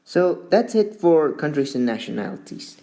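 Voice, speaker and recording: A man teaching a beginner English lesson, speaking slowly and deliberately with long pauses between words. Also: a little high, very animated, warm tone.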